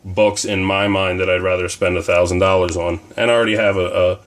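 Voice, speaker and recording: A man with a slightly low voice, hoarse from fighting off a cold. He chats loosely to camera in a casual vlog, wandering through his thoughts with plenty of ums and uhs. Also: little pitch movement, a little fast, slight background noise. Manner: rambling, conversational, frequent ums and uhs. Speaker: comic collecting vlogger.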